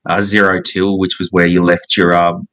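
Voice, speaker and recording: A man's voice: deep voice, monotone, normal speed, a bit bright. A man with an Australian accent chatting casually as an interview guest, laid-back and slangy. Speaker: pub owner, interview guest. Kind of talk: casual chat.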